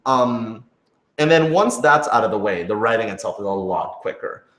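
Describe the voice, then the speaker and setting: A man's voice: lowish voice, expressive, normal speed, a bit bright. A man in a casual Q&A chat, explaining things in a rambling way full of filler words. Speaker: writer and audio editor of an audio drama. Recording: roomy, echoey room sound.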